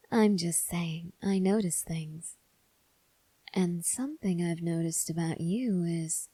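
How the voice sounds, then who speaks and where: A woman playing a calm, probing female vampire in an ASMR roleplay, speaking softly and breathily with deliberate pauses. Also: on the low side, dry recording. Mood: teasing, curious. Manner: soft, breathy, measured delivery with deliberate pauses. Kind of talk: ASMR roleplay addressed to the listener.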